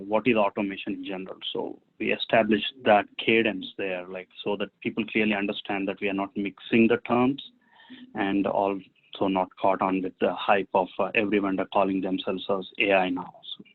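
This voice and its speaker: A man speaking off the cuff as a business webinar panelist, piecing his phrases together haltingly. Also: fairly low pitch. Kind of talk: unscripted business panel talk. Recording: narrow tinny phone-like line.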